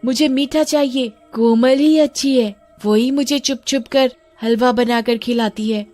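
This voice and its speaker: A woman voicing a possessed little girl who rudely shouts and demands sweets in a cartoon story. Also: on the high side, fairly even intonation, measured pace, faint music behind, voice pushed up, natural voice. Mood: demanding. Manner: shouting, bossy.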